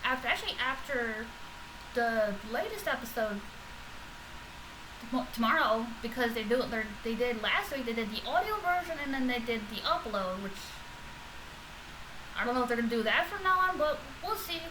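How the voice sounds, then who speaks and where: A woman with a loud, fairly high voice rambles through a casual reaction vlog. She talks off the cuff, full of fillers and restarts. Steady background noise sits clearly under the recording. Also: moderate pace. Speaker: vlogger reacting to podcast episodes.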